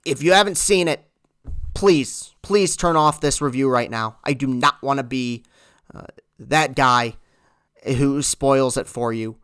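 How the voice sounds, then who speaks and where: Earnest man with clear voice. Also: medium pitch, fairly bright tone, no echo, clean studio sound. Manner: earnest, halting, with repeated words. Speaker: film reviewer. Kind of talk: casual talk-to-camera movie review.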